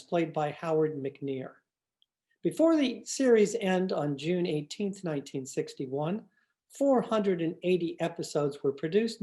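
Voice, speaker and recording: A woman narrating a scripted radio show introduction as announcer, in a measured read-aloud delivery. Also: low-pitched, mellow.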